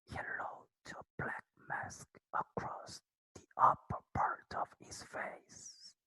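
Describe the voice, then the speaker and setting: A narrator reading a classic detective story aloud in a soft, close-mic ASMR whisper. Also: slow, no room sound.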